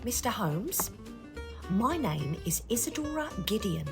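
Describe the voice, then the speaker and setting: A woman's voice: mid-range voice, sing-song, a little slow, music in the background. A woman playing a polite, fussy, earnest lady client in a period audio drama, speaking formally and at rambling length.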